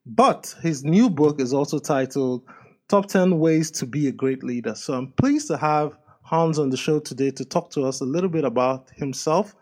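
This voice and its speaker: Male podcast host, lighter pitch, upbeat interviewer delivery. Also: moderate pace, a little mellow. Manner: upbeat, reads sponsor copy then asks questions.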